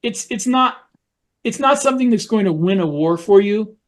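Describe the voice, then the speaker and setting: A man's voice: high-pitched, expressive, moderate pace. A man co-hosting a podcast, explaining things in a casual, storytelling way as part of a panel discussion. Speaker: podcast co-host.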